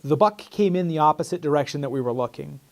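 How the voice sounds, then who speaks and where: A man's voice: on the high side, fast talker, gravelly. A man in his thirties, a hunting mentor, telling in an earnest, plain way how a hunt went. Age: 30s. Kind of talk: casual recounting interview.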